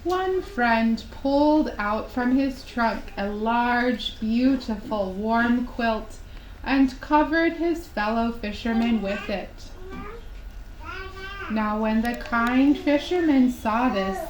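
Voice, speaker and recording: A woman telling a children's story as scripted narration for a puppet show, in a gentle, deliberate way with pauses between phrases. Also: a little high, lively intonation. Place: indoor room at a live puppet show. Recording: roomy echo, clear background noise.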